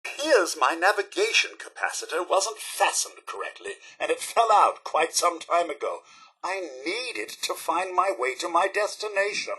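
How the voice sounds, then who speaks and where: A chipper British robot butler from a video game. The voice sits fairly high for a male and swoops widely, with a sharp, raspy edge. The delivery is prim, formal and theatrical, fussy yet unfailingly polite. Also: expressive, moderate pace, heavily acted. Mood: put-upon, polite. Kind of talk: video game character acting.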